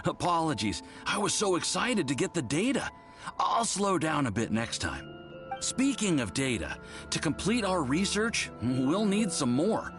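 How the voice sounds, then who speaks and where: A man's voice: on the high side, big pitch swings, unhurried, a bit bright. A man voicing an eager, polite young research assistant in a video game, earnest and bookish with a deferential manner. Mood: apologetic, enthusiastic. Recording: music behind the voice.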